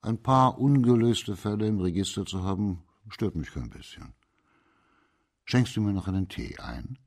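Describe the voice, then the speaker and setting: An actor in a German radio play playing a shrewd, composed magistrate of old China who explains things deliberately and with authority. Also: male voice, on the low side, expressive. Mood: calm, reflective.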